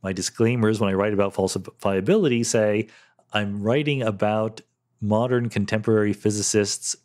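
A man's voice: fairly low pitch, darkish tone. A middle-aged man hosting a solo podcast, answering listener questions candidly with fillers like "um" and "you know". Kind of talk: solo podcast Q&A, thinking aloud.

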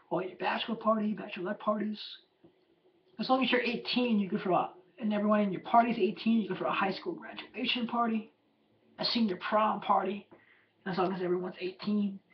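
Hoarse man rambling on his podcast. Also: sits high, fairly even intonation, moderate pace, dull audio, a little hiss or hum. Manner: chatty and loose, heavy with fillers and plugs. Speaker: podcast host. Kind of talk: casual rambling podcast monologue. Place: room at home with a window.

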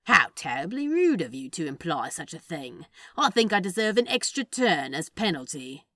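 Sardonic male demon played by a woman: lowish, bright, sly casual drawl, teasing. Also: big pitch swings, moderate pace, no room sound. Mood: smug, mock-offended. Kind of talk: fan fiction dialogue reading.

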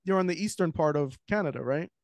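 A man's voice: on the high side, fast, no room sound, clean studio sound. A man in his forties with a New York accent, a screenwriter talking casually and animatedly as a guest on a video call. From Queens, New York. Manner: talkative, animated, gestures while talking. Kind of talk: casual interview chat. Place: home room with shelves. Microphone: studio mic with headphones, video call.

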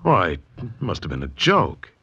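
Lively, husky, earnest young painter. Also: male voice, low-pitched, measured pace, heavily acted. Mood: puzzled. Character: anxious, boyish, eager male painter. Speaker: supporting actor. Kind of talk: 1940s radio mystery acting. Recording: muffled 1940s radio recording.

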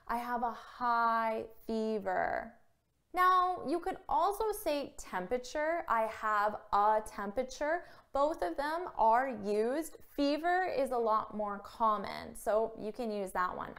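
Slow, clear woman teaching vocabulary. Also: a little high. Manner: clear, careful, demonstrative with example phrases. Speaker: English teacher. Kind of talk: scripted vocabulary lesson to camera. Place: small indoor room against a plain wall. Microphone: camera framed at chest height.

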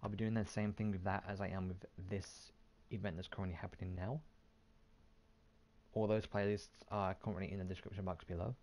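A man's voice: deep voice, flat delivery, fairly quick, a little mellow. A man giving casual, offhand gameplay commentary, muttering and mildly swearing to himself. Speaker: gaming YouTuber.

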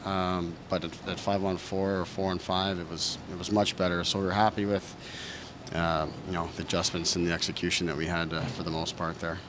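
Head coach, thirties, male; low, monotone delivery, measured and restarting; close press mics, noisy room. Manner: measured, thoughtful, frequent fillers and restarts. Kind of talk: post-game press conference answers. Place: press room in front of a sponsor backdrop. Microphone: several reporters' handheld mics close in front.

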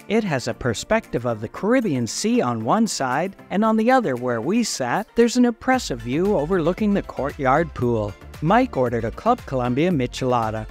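Upbeat man narrating a travel tour. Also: a little high. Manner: upbeat descriptive tour-guide reading. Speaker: travel vlog co-host. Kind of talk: scripted voice-over narration.

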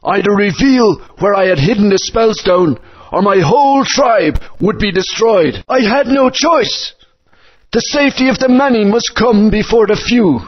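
An old wise dinosaur guardian. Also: male voice, high voice, animated, a little slow. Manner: grand, storytelling, scolding. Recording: dull lo-fi game audio.

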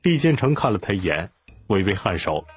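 A man narrating an audiobook in an expressive storytelling style. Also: on the low side, fairly quick, breathy edge, faint music behind.

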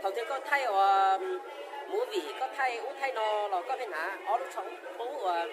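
A man's voice: high-pitched, expressive, normal speed, music in the background, crowd noise. A man in his thirties vlogging while shopping in a clothing store, chatting casually with many fillers.

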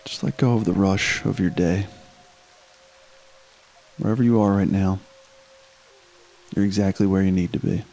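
Low-pitched man guiding a meditation. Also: steady tone, mellow, faint music behind. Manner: slow, unhurried instruction with long pauses. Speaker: meditation guide. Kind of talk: guided meditation.

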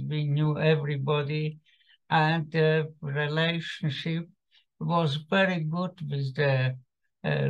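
A man in his eighties with a Slovak accent gives a personal testimony talk in a halting, hesitant way over a video call from home. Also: on the high side. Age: 80s. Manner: halting, hesitant delivery with long pauses. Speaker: guest speaker, survivor giving testimony. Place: small home room indoors. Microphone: computer mic on a video call. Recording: muffled, lo-fi video-call audio.

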